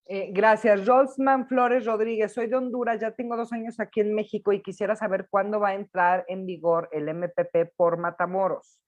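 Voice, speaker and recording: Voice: mid-range voice, steady tone, normal speed, dull audio. A host, chatting and reading out viewer questions on a live show.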